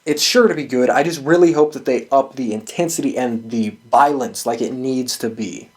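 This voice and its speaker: A man's voice: medium pitch, normal speed. A man hosting a show, giving casual, opinionated sports commentary in an emphatic, sweary way. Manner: profane and emphatic. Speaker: host of a wrestling prediction show.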